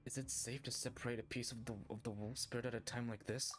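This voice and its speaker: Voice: medium pitch, flat delivery, fast talker. A gamer giving casual playthrough commentary in short, offhand reactions to the story. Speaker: gamer reacting while playing. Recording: faint game music underneath. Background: game music.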